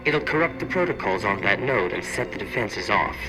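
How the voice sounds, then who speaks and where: An actor playing a sly, know-it-all tech expert in a video game, brisk and dismissive, through a radio filter. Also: male voice, fairly low pitch, sing-song, over music, low-quality recording. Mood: brisk, helpful. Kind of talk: video game dialogue acting.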